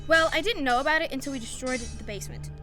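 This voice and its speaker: Woman playing a high, bright, commanding battle leader. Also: quick pace, soft background music. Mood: brisk, commanding. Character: commanding young female leader in a sci-fi battle. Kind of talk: audio drama acting.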